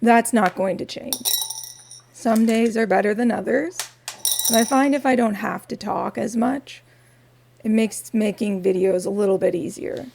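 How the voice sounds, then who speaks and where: A woman with a raspy, gravelly voice talks softly and at an unhurried pace in a quiet home studio vlog, dropping wry little asides. A faint hiss of background noise sits under her voice. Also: mid-range voice. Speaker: crafting vlogger.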